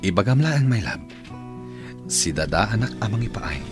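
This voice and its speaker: A man's voice: fairly low pitch, sing-song, normal speed, music in the background. A man with an Ilocano accent playing a devoted, generous suitor in a radio drama, speaking tenderly and earnestly.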